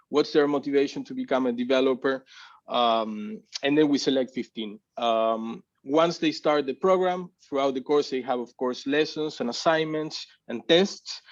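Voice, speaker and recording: A man's voice: medium pitch, highs cut off. A man giving a casual talk at a tech meetup, hesitant, with many fillers and restarts. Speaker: community organizer presenting.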